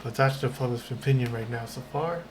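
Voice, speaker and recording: A man's voice: mid-range voice, a little fast, background noise. A man giving casual commentary as he streams a video game. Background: game music.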